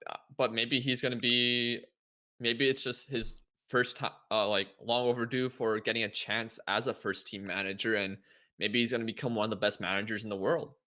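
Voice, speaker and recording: A man's voice: mid-range voice, steady tone, normal speed, sharp tone. A man co-hosting a casual football podcast, leading the segments and asking the others for their views. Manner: steers the talk, asks the others. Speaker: co-host.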